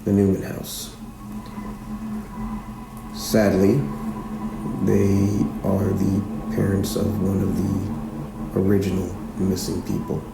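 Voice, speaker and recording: A man playing a patient but firm small-town police chief who questions insistently and with measured pauses, in a naturalistic fiction podcast. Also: low-pitched, completely flat delivery, creaky, noisy background, heavily acted. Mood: probing. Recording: roomy echo, music underneath.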